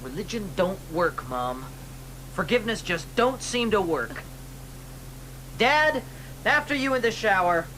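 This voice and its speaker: A troubled son, bright tense voice, lively pitch. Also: male voice, unhurried, long pauses, a little hiss or hum, exaggerated voice, poor audio. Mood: brooding. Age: young adult. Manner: earnest, brooding. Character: troubled grown son, earnest. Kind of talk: radio drama acting.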